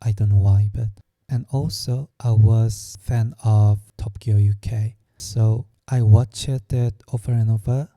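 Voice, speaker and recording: Slow, dark-voiced Korean-accented man. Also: on the low side, fairly even intonation, no room sound. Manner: slow, hesitant, searching for words. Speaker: vlogger. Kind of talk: personal storytelling.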